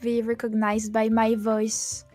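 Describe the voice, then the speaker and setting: High-voiced woman, halting casual chat. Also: monotone, a little mellow. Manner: halting, repeats words and restarts often. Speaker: VTuber, podcast host. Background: background music.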